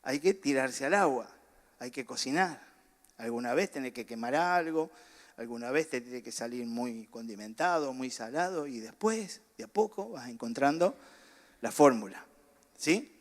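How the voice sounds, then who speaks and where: A man's voice: medium pitch, lively intonation, moderate pace. A middle-aged man with a Rioplatense accent preaching a sermon, chatting and joking with the congregation before building to fervent preaching, with a slight cough. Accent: Rioplatense Spanish. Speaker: pastor.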